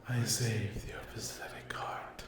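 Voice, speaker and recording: A man voicing a cruel, mocking sleep paralysis demon in a breathy ASMR roleplay, taunting and condescending in drawn-out phrases. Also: fairly low pitch, little pitch movement. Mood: smug, possessive. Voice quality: breathy whisper. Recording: roomy echo.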